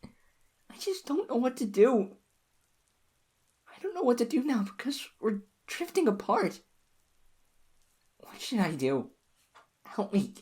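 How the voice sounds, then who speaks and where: A woman voicing an obsessive, clingy young lover in an audio roleplay, speaking softly and pleadingly in a husky, whispery voice to the listener. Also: fairly high pitch, very animated, slow pace, pauses often, heavily acted. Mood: anxious pleading.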